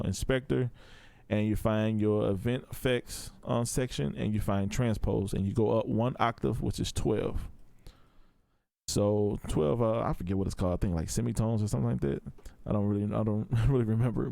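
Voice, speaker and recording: A man's voice: fairly low pitch, gravelly, mellow, no echo. A man giving a casual, step-by-step tutorial in a laid-back, informal way. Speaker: audio production tutor.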